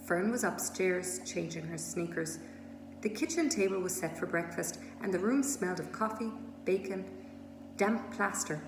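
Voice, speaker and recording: A woman reading a children's storybook aloud as a scripted narration. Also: fairly low pitch. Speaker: storybook reader. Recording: echoey room with steady noise.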